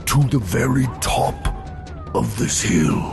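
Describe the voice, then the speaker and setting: A man playing a big, heroic snowman with a booming voice, hearty and protective, in a dramatized fantasy story. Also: animated, slow, gravelly, music in the background, full character voice. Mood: urgent, booming.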